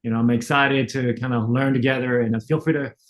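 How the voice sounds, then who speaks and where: A middle-aged man from France, with no trace of a French accent, giving a relaxed online lecture, full of fillers and restarts. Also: medium pitch, a little fast. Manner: frequent fillers and self-restarts, conversational. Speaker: optometrist lecturer. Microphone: online webinar.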